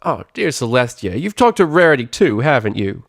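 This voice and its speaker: A man's voice: medium pitch, big pitch swings, normal speed, creaky, a bit bright, no echo, studio quality, pitched above the performer's own voice, full character voice. A man voicing a brash, irritable young female pegasus pony from a cartoon story, with a cracking voice and slangy, blustering delivery. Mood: indignant. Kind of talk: animated character voice in a story reading.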